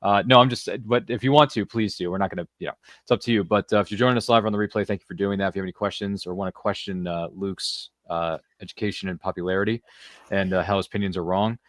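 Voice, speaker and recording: A man's voice: low-pitched, steady tone, quick pace, no echo, clean studio sound. A man hosting a live podcast in a casual, slangy, jokey way. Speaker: podcast host. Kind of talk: casual live show chat.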